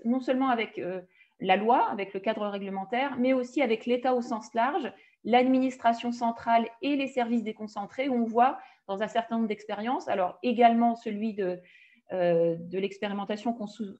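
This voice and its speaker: Female web-conference presenter, fairly high voice, slightly muffled call audio. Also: a little mellow. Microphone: video call. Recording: dull band-limited video call.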